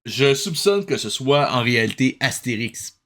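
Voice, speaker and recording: Homme québécois au ton sarcastique. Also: male voice, medium pitch, expressive, moderate pace, a bit bright, a little hiss or hum. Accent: Quebec French. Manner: sarcastic, nitpicking, mixes in English phrases. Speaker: host of a cartoon review show. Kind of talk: sarcastic reaction commentary.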